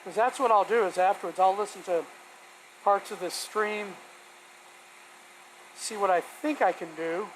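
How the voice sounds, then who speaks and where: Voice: medium pitch, unhurried, pauses often, poor audio. A hobby woodworker chatting casually on a live stream, rambling and thinking aloud in a folksy way while working. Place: home woodworking workshop. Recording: steady vacuum noise behind voice. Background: shop vacuum running.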